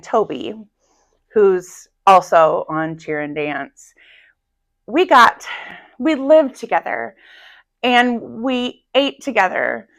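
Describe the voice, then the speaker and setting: Woman, 30s, teacher guest; loud and animated storyteller, casual school interview. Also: a little high. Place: high school classroom.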